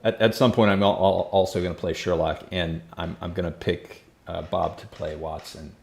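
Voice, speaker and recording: Low-voiced chatty male host. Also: fairly even intonation, moderate pace, creaky. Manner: chatty, restarts often, laughs easily. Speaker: podcast host. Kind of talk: casual conversation over a call.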